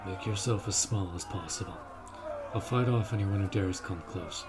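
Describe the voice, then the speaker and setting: A man's voice: low-pitched, heavy background noise, natural voice. A man playing a stern, honorable orc warrior commander in a fantasy audio roleplay, speaking in a formal, commanding way. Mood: urgent, gruff. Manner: formal, commanding, measured delivery.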